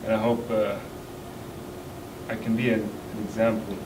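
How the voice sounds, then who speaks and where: A man from Kuwait, an international student speaking on a discussion panel in an earnest, measured way. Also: on the low side, little pitch movement. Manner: earnest, measured, pausing often. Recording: roomy, distant mic, noisy hall.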